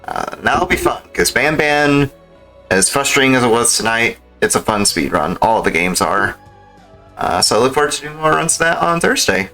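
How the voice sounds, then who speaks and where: A man's voice: mid-range voice, lively intonation, moderate pace, sharp tone. A man speaking as a game livestreamer, chatting casually in an informal, rambling, slangy way. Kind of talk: casual livestream sign-off chat. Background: background music.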